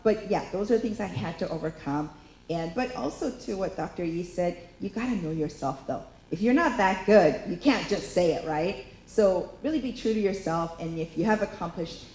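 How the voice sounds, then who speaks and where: A woman's voice: fairly low pitch, darkish tone, slight background noise. Chatty woman panelist in a roomy hall. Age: 50s. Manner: candid, chatty, many fillers. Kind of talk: panel discussion. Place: lecture hall.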